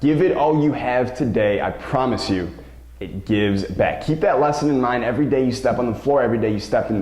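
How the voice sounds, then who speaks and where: A man's voice: medium pitch, fairly quick, darkish tone. A man speaking as a basketball coach and show host, giving an emphatic motivational talk to viewers and stressing key words by repeating them. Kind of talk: motivational vlog talk to camera. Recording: roomy, echoing sound.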